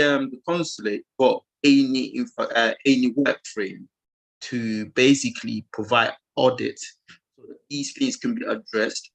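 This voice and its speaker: A man's voice: mid-range voice, gravelly, a bit bright, low-quality recording. A man calling into an online forum, earnestly asking a long, rambling question with many fillers and restarts. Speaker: caller. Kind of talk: asking a question on a video call.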